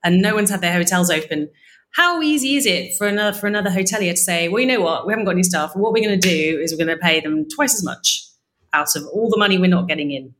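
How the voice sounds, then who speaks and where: A woman's voice: on the low side, lively intonation, fast, fairly bright tone. A woman speaking casually and wryly as a participant posing questions in a panel discussion.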